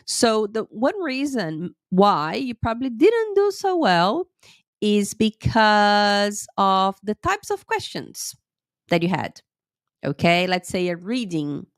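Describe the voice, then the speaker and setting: A woman's voice: mid-range voice, sing-song, no echo, studio quality. Chatty woman teacher with a slight cold. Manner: chatty, lots of "okay?" checks with viewers; speaks a little slowly. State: a little sick with a cold, sore throat. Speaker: English teacher and IELTS tutor. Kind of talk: live-streamed lesson.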